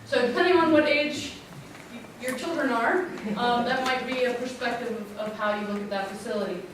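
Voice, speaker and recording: A woman with a loud, clear voice presenting a water infrastructure plan at a public community meeting. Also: fairly high pitch, moderate pace. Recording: echoey room, distant mic, background noise.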